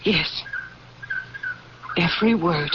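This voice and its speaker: An actress playing a grieving, proud and resolute general's widow in an old-time radio drama, speaking formally and with theatrical feeling. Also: female voice, lowish voice, takes it slowly. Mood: earnest. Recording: muffled, hissy old radio recording.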